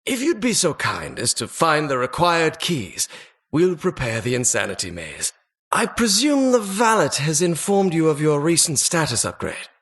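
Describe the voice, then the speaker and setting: A man's voice: on the high side, fairly bright tone. A man voicing a talking dungeon as a pompous, mock-polite, sardonic butler-like host in a video game. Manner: pompous, mock-polite, arch formal phrasing. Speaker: game announcer. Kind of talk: theatrical video game voice-over.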